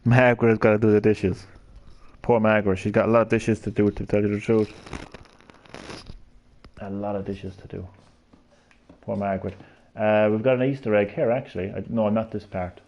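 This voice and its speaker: A man's voice: on the low side, little pitch movement, moderate pace, pauses often, a little mellow. A man in his thirties with a Cork Irish accent, chatting to his viewers as he streams a game. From Ireland. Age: 30s. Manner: chatty, jokey, talks to chat. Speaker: live streamer. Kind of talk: live gameplay commentary.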